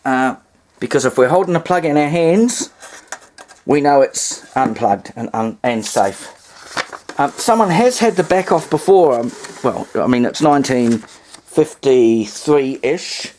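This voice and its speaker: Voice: mid-range voice, a little slow, a little mellow. Rambling New Zealand hobbyist, noisy recording. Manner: rambling, thinking aloud. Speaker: vintage radio hobbyist and presenter. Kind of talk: casual hands-on demonstration commentary. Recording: clearly noisy amateur home recording.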